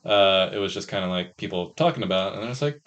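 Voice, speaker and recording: A man's voice: low-pitched, expressive, fast talker, fairly bright tone, muffled recording. A man co-hosting a podcast, giving casual opinions on movies in informal, sweary language. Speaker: podcast co-host. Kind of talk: casual chat about movies.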